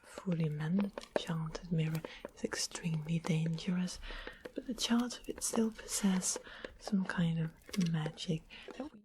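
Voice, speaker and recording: A woman voicing a sweet, mischievous witch in an ASMR fantasy roleplay, in a breathy whisper with an unhurried, polite, coaxing manner. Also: fairly low pitch, background noise, close to an everyday voice. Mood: softly informative. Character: sweet-smiled, mischievous witch of the west, softly sly.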